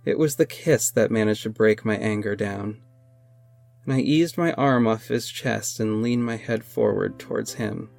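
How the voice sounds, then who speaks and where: A man's voice: fairly low pitch, measured pace, creaky, darkish tone. An actor playing an earnest masked superhero who narrates his own adventures in an audio drama. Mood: earnest, dramatic. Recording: music under the voice. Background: music.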